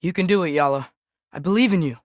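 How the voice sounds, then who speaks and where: A man's voice: a little high, fast talker, dry recording, studio quality, close to an everyday voice. A young male actor playing an earnest, curious, impulsive teenage boy in a Norse myth video game, speaking naturally with a hesitant, boyish manner. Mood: gentle, awkward.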